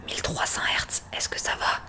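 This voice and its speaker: A woman whispers breathily as an ASMR examiner, gently and attentively explaining a hearing test step by step to the listener. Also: moderate pace. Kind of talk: whispered ASMR medical roleplay, addressing the listener.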